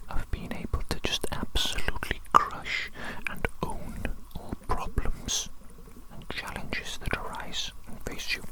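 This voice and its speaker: A performer voicing a doting, eccentric evil doctor in a breathy whispered ASMR roleplay, with fussy, repetitive, quaintly formal phrasing. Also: close to an everyday voice, poor audio. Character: doting eccentric evil doctor fussing over a tiny creature.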